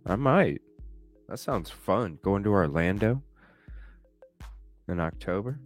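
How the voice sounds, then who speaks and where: Stuffy-nosed low-voiced male streamer. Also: lively intonation, takes it slowly, faint music behind. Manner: chatty, thinks aloud, swears casually. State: stuffy nose. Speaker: live streamer. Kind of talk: casual chat reacting to a video. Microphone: desk microphone with webcam.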